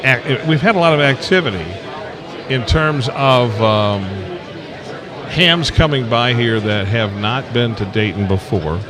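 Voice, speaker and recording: A man's voice: medium pitch, measured pace. A man with a Tennessee Southern accent hosting a casual live interview, folksy and rambling as he searches for his words. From Tennessee, United States. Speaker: radio show host. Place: busy convention event. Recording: busy convention crowd noise behind. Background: crowd chatter.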